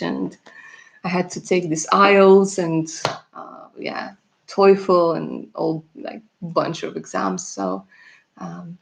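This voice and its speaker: A woman's voice: mid-range voice, gravelly. A Russian-born woman, a native Russian speaker, who works as a tarot reader and chats with viewers on a live stream. She laughs often and calls them darling.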